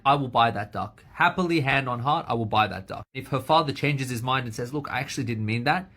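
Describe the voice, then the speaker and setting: A man's voice: medium pitch, a bit bright. A man who is a lawyer, speaking earnestly and emphatically in a short social media video.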